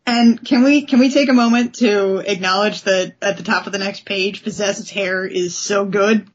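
Husky woman on a slightly dull recording. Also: mid-range voice, fairly even intonation, moderate pace. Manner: enthusiastic, informal. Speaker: podcast host. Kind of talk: casual comic book discussion.

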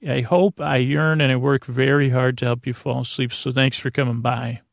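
A man hosting a bedtime podcast in a creaky voice, rambling soothingly and haltingly, often stammering and interrupting himself. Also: medium pitch, fairly even intonation, no room sound, clean studio sound. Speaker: host of a bedtime sleep podcast.

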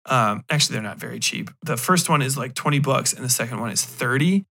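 A man with a medium-pitched voice hosts a podcast. He reviews things casually and without a script, rambling along and circling back on himself. His delivery is informal and emphatic. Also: expressive, a little fast, creaky, bright, forceful tone. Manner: rambling, emphatic, profane slang. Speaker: podcast host.

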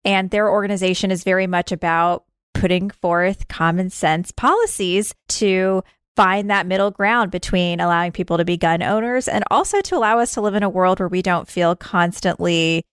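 A woman's voice: on the low side, moderate pace, clear, resonant tone, no echo, studio quality. A woman hosting a podcast in an informal, chatty and self-deprecating way. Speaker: podcast host. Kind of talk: chatty podcast intro and interview.

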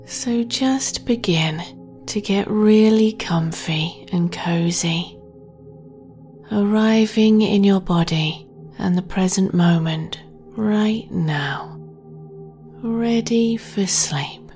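Female sleep meditation host; breathy half-whisper, very unhurried, soft music bed. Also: mid-range voice. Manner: slow, soothing, gentle delivery.

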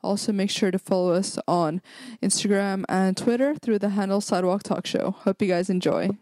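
A woman's voice: medium pitch, fairly even intonation, normal speed, darkish tone. A young woman hosting an interview show, asking chatty, upbeat questions with casual fillers.

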